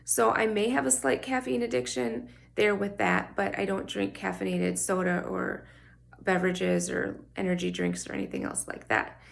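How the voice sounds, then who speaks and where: A woman's voice: mid-range voice, flat delivery, normal speed, gravelly. A woman talking candidly to the camera in a chatty, personal vlog, her voice scratchy from just waking up. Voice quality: scratchy morning voice. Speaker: vlogger. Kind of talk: casual talk to camera.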